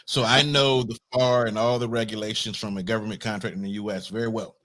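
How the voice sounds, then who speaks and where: An American man hosting an interview, introducing his guest in a friendly, relaxed way with short restarting phrases. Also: fairly low pitch, quick pace. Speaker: host.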